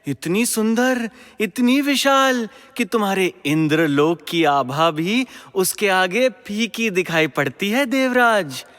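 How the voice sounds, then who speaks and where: High-voiced celestial sage in long reverb. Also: male voice, fairly bright tone. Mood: serene, teasing. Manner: sing-song drawn-out chanting, theatrical, needling. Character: celestial wandering sage, teasing messenger of the gods. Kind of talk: Hindi mythological audio drama acting.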